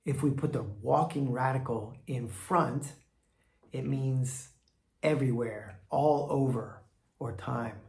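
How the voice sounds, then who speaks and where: A man's voice: mid-range voice, slow, creaky, a little mellow, slightly rough, no echo. A man, middle-aged, hosting a casual, chatty vlog that teaches language with rambling asides. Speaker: video host teaching Chinese characters.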